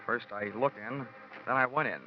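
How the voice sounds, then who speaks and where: An actor playing a wisecracking, hardboiled insurance investigator in an old-time radio detective drama, wry and sardonic with a clipped delivery. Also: male voice, medium pitch, moderate pace, noisy background, natural voice. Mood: wry, brisk. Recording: dull vintage radio recording with music.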